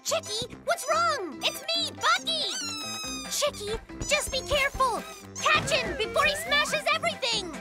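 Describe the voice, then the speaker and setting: An actor voicing a boastful, excitable young polar bear inventor in a children's cartoon, with a dramatic, self-important delivery. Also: very high voice, unhurried, over loud music, heavily acted. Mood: agitated. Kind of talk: animated children's cartoon acting.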